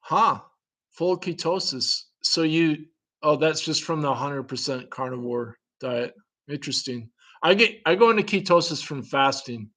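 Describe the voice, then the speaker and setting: A man's voice: a little high, animated, measured pace, sharp tone. Man rambling in a chatty, curious way. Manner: rambling, thinking aloud, curious; chuckles often between remarks. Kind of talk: casual chat.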